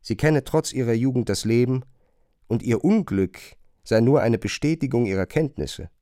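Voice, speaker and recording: A man's voice: medium pitch, expressive, moderate pace, warm tone, no echo, studio quality. A man narrating a literary radio play.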